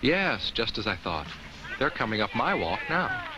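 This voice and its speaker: An actor voicing a friendly, caring talking jack-o'-lantern who narrates a children's safety film in simple, instructive words addressed straight to kids. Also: male voice, mid-range voice, big pitch swings, measured pace, music in the background, rough recording. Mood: caring, cautionary. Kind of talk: scripted educational narration for children.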